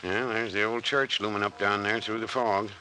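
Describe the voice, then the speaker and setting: An actor playing a folksy older small-town doctor, bossy and reassuring, with rural turns of phrase in old-time radio drama style. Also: male voice, lowish voice, steady tone, normal speed, pushed and bright. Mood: dismissive, brisk. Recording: noisy vintage radio recording.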